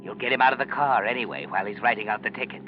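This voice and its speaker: A man performs a menacing, insinuating narrator of a 1940s radio suspense play. His voice is fairly low and dramatic, goading the listener. Music plays clearly behind him on a dull, aged recording. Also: very fast, natural voice. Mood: ominous, suspenseful. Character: ominous, taunting narrator addressing the guilty man. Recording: muffled old radio recording.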